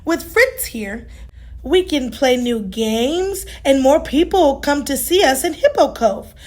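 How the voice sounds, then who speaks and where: A woman's voice: high-pitched, animated, slow, a little mellow. A woman voicing a sweet, eager but easily worried young girl hippo in a children's storybook. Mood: thoughtful. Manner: childlike, exclaiming.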